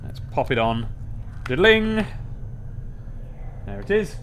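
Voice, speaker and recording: Let's play host: young British man, higher-pitched, chatty, wry, close-miked. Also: sing-song, takes it slowly, fairly bright tone, slight background noise. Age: young adult. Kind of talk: casual game commentary and reading aloud. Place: small room at home or office, indoors. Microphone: desk microphone with pop filter, close.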